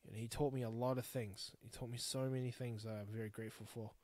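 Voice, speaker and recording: A man's voice: medium pitch, level tone, fast, a little mellow, dry recording. A man in his thirties with a New Zealand accent, hosting a podcast alone, talking bluntly and frankly, swearing freely as he rambles. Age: 30s. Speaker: podcast host. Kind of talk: candid solo podcast monologue.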